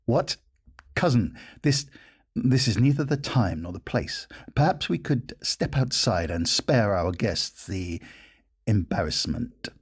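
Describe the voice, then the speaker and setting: A man plays a haughty aristocratic groom in an audio drama. His voice is fairly low and husky, and he speaks slowly with affronted formality. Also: lively intonation, heavily acted. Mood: flustered. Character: proud, arrogant young noble bridegroom, easily affronted.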